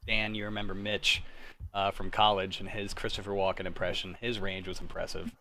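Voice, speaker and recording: A man's voice: low voice, flat delivery, brisk, bright, forceful tone, a little hiss or hum, poor audio. An American man in his thirties chatting casually and enthusiastically as a co-host, with some self-deprecating swearing. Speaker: podcast co-host and sound designer. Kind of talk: casual livestream chat.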